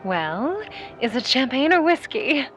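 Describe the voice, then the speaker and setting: An actress playing a driven, sassy young aspiring starlet, theatrical and dramatic in a vintage radio drama style. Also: female voice, high voice, very animated, a little slow, fairly bright tone, exaggerated voice. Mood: anxious, dramatic. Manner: theatrical, sassy, darling-dropping.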